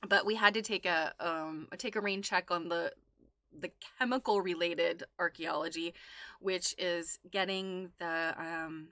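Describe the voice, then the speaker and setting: A woman's voice: lowish voice, fairly even intonation, a little slow, sharp tone. A woman hosting a livestream in casual, chatty talk, with a playful, giggly manner. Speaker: livestream host.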